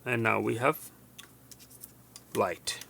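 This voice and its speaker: A man presenting a casual hands-on repair demonstration, going step by step in a halting way and correcting himself. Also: lowish voice, vocal fry, background noise. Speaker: tutorial presenter.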